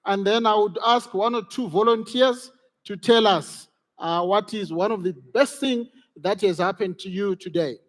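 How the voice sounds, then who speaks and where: High-voiced man leading a workshop. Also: moderate pace. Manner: encouraging, repeats key phrases. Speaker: workshop facilitator. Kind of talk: interactive workshop talk to an audience. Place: large conference hall. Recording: reverberant conference hall.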